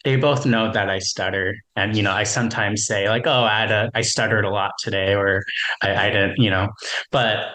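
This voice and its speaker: A man's voice: fairly low pitch, fairly even intonation, normal speed, bright, forceful tone. A man who stutters, sharing a personal reflection as a webinar panelist, with frequent repeats and fillers.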